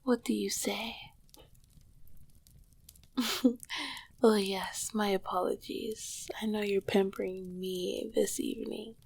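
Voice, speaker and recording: A woman voicing an ancient succubus queen in an intimate ASMR roleplay, tender, regal and teasing, with a breathy, husky, often whispering voice. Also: mid-range voice, fairly even intonation, no echo, exaggerated voice. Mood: tender, coaxing, then cozy, affectionate. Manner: slow, affectionate, softly teasing.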